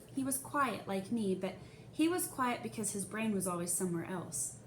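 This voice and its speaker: A woman's voice: medium pitch, animated, moderate pace. A woman reading a children's novel aloud as a first-person storyteller, dramatizing the young narrator's feelings expressively. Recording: echoey room with faint hiss.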